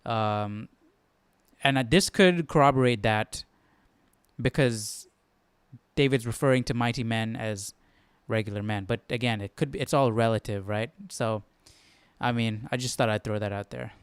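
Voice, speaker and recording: Casual guy leading bible study, smooth clear voice, no echo, talks it thru as he goes. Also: mid-range voice, moderate pace. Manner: informal, thinking aloud, often checks with "right?". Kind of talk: casual live study commentary.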